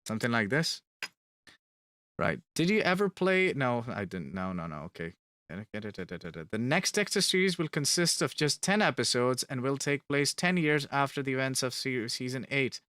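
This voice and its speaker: Lively, bright-voiced man streaming. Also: mid-range voice, normal speed, no echo, clean studio sound. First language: Finnish. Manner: chatty, repeats words for emphasis. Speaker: band member streaming to fans. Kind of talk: casual live stream chat reading questions.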